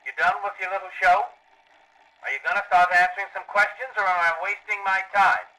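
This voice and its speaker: An actor in an audio drama playing a blunt, exasperated, hard-nosed modern sheriff. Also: male voice, high voice, flat delivery, fairly quick, bright, forceful tone, poor audio.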